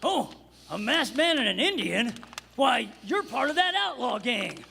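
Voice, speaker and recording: A high, creaky cantankerous rancher. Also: male voice, sing-song, a little slow, bright, forceful tone, full character voice. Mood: wary, shaken. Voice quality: creaky, gravelly. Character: cantankerous, folksy old-West male rancher. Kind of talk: old-time radio western acting. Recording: echoey hall, noisy live stage recording.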